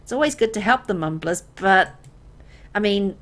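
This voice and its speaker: A woman acting as an anxious young woman in a horror visual novel. Her pitch moves around a lot, and she speaks hesitantly, with unease. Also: expressive, moderate pace, a bit bright, close to an everyday voice. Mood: subdued, uneasy. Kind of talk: visual novel dialogue and first-person narration.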